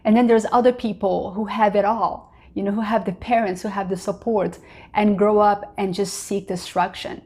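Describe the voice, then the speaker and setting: A woman from Morocco speaks in an earnest, open way as the host of her own vlog. Her English is a second language, and she keeps filling pauses with "you know" and "uh". Her voice is pitched in a middle range. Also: fairly even intonation, darkish tone. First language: not English. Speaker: vlogger host. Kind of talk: personal motivational talk to camera.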